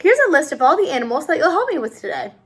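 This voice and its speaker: A friendly female zoo vet from a storybook, read by a woman. She explains and encourages in a high voice with very lively rises and falls. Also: very fast. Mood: friendly, instructive.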